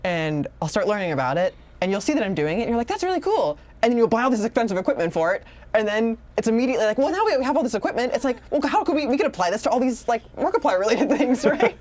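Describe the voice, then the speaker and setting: A woman's voice: on the high side, sing-song, racing, few pauses, a little mellow. A woman in casual, reflective conversation, rambling and slipping into quoted asides as she explains herself.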